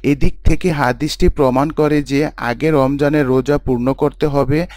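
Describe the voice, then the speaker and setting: A man playing a pious husband and father in a scripted Islamic story, explaining teachings in a measured, didactic way. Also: on the high side, fairly even intonation, darkish tone, no room sound, close to an everyday voice. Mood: approving, instructive.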